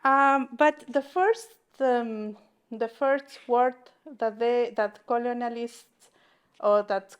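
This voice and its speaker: Woman in her forties, Mixe first language, Mexican Spanish accent; halting public talk, higher voice. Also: clear, resonant tone. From southern Mexico. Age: 40s. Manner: halting, searching for words, frequent restarts. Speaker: invited speaker.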